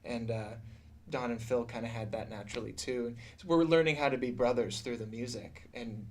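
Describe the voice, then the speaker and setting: A man's voice: medium pitch, a little fast. A man in his thirties chatting earnestly and reflectively as a musician in a casual radio interview. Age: 30s. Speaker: musician, tribute duo singer. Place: small radio studio, indoors. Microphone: studio mic on boom arm.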